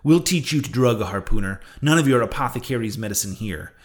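A man's voice: mid-range voice, expressive, quick pace, natural voice. Guy doing a blustering whaling mate from an old sea story, sarcastic, outraged, hammering his mocking questions. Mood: angry, accusing. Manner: emphatic, mocking, full of rhetorical questions. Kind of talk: dramatized audiobook reading of a sea novel.

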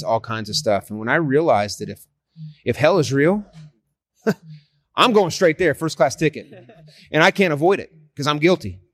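A man's voice: medium pitch, a bit bright, no echo. A man in his forties sharing his faith with a stranger in an earnest, persuasive casual conversation. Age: 40s. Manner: earnest and persuasive, frequent restarts. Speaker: street evangelist.

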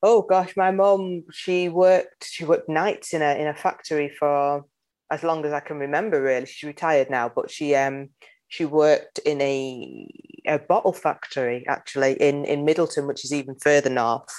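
Low-voiced Mancunian woman, thoughtful. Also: steady tone, moderate pace, darkish tone. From Manchester, England. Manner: thoughtful, searching for words as she goes. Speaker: singer-songwriter guest. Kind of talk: conversational interview.

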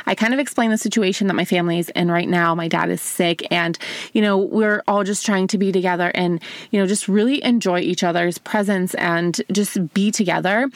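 A woman's voice: medium pitch, no room sound. A woman in her twenties, raised in America after a childhood in Bulgaria, hosting her own podcast in an upbeat, chatty way and calling the listener babe. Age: 20s. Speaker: podcast host. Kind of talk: casual solo podcast talk.

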